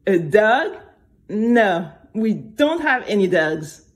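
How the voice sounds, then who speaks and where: A woman's voice: mid-range voice, very animated, takes it slowly. A woman voicing a busy, polite mother who asks mild questions in a children's audio story. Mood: puzzled.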